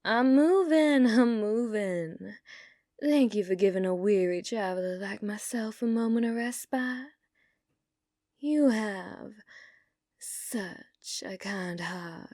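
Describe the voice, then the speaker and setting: A woman's voice: medium pitch, slow, warm tone, no room sound. Woman acting a teasing, dominant bounty hunter, close and dry. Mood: soft, teasing. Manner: coaxing, drawling endearments, drops into breathy whispers. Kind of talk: ASMR roleplay, scripted character acting.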